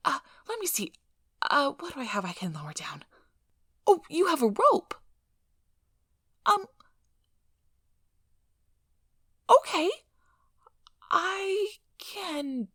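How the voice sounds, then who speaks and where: A woman's voice: high-pitched, bright, forceful tone, no echo, clean studio sound, full character voice. A woman playing a nervous, eager researcher in an ASMR roleplay, rambling in a flustered, apologetic way. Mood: impressed, flustered, then anxious, apologetic. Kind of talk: ASMR roleplay audio drama.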